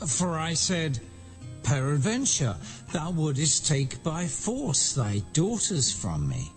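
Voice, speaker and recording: An indignant shepherd acted by a man pleads his case in a scripture drama. The delivery is declamatory and very slow, with wide swings of pitch, set over faint music. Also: very animated, heavily acted. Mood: defensive. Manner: declamatory, archaic diction.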